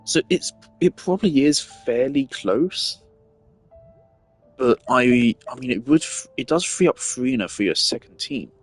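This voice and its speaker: A man's voice: medium pitch, expressive, unhurried, soft background music, poor audio. A man giving casual, analytical gaming commentary, thinking aloud in a rambling way as a streamer.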